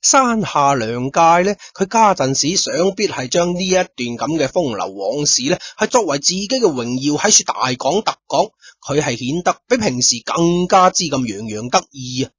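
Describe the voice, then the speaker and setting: A man's voice: on the high side, big pitch swings, moderate pace, few pauses, fairly bright tone, husky, no room sound. A man narrating a story as a storyteller, chatty with casual asides to listeners. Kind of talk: storytelling narration of a radio drama. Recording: dull lo-fi recording.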